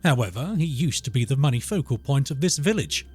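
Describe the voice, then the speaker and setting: A man's voice: medium pitch, big pitch swings, fairly quick, a little mellow, breathy edge. A man playing a discreet bank manager in a podcast drama, with a dry, formal way of talking. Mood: dry, confiding. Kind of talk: podcast audio drama acting.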